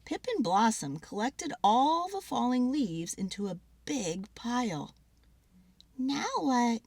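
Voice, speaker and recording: Very animated, breathy woman reading a storybook. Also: fairly high pitch, unhurried. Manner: expressive storytelling. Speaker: children's storybook reader. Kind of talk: dramatic scripted read-aloud for children.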